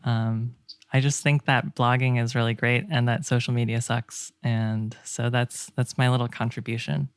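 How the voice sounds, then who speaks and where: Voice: medium pitch, level tone, moderate pace, clean tone, dry recording, studio quality. A man speaking as a podcast guest in a casual discussion, thinking aloud in a reflective, tentative way. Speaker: game developer.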